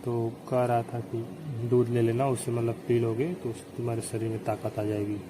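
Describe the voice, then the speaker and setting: A man's voice: medium pitch, monotone, normal speed, close to an everyday voice. A man with an eastern Hindi-belt accent playing a nervous, curious young brother-in-law, casually seeking advice in a staged phone call. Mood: sheepish, confiding. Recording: noisy phone call recording.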